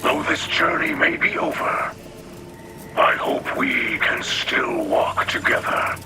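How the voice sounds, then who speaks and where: A wise, gentle alien elder, speaks very solemnly in a breathy, rasping growl. The phrasing is slow and ceremonial, like a game cutscene, and loud music plays behind. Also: male voice, a little high, huge pitch swings, heavily acted. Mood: hopeful, tender.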